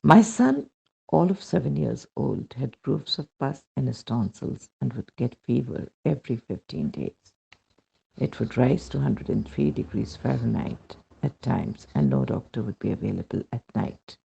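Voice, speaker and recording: A man with an Indian accent and a husky, gravelly voice reads a first-person devotional account aloud as scripted narration, in a dull lo-fi recording. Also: medium pitch, very animated, measured pace, dark tone. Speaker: reader of a devotional testimonial.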